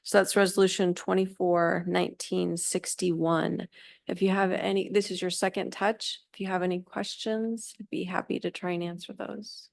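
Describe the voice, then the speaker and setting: Female staff presenter, formal council briefing, clear and polite, dry close sound. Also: fairly low pitch, level tone, moderate pace, no echo.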